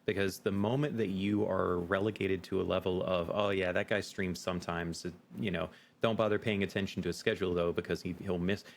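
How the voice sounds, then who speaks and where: A man with a very deep voice speaks as an interview guest. He lays out his points emphatically, in a conversational explaining way, with faint noise behind him. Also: steady tone, a little fast, creaky, few pauses. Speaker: game master guest.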